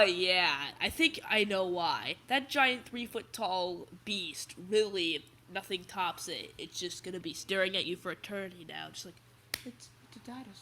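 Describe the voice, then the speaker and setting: Stuffy-nosed teen guy, bright tone, rambling toy-collector chat; keep it loose and restart a lot. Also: sits high, a little hiss or hum. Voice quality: slightly raspy. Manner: rambling, restarts often, chatty. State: stuffy nose, under the weather. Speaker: toy collector video host. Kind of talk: casual livestream chat.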